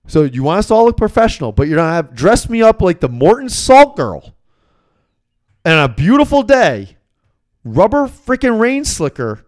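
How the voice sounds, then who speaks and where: Clear-voiced man telling stories on a sports podcast. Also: high-pitched, big pitch swings, normal speed. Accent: American. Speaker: sports betting podcast host and basketball coach. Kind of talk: casual solo podcast talk and storytelling.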